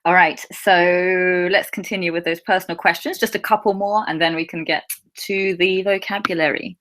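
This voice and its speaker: A young woman with a slightly low voice, whose was Russian. She talks in an easygoing, chatty way and answers viewers' questions one by one. She keeps her words clear and simple for learners. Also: fairly even intonation, moderate pace, a bit bright. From England. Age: young adult. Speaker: English teacher. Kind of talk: casual live Q&A.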